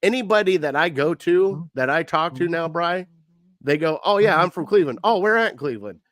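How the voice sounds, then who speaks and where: A man from co-hosts a casual sports podcast. His voice sits fairly high, and he talks animatedly, acting out the people he quotes. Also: expressive, brisk, fairly bright tone, no room sound. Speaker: podcast co-host.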